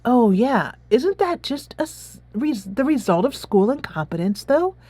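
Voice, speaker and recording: A woman voicing a down-to-earth, skeptical high school girl from a game in a casual, questioning way. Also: sing-song, measured pace, dark tone, dry recording, faint music behind. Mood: doubtful.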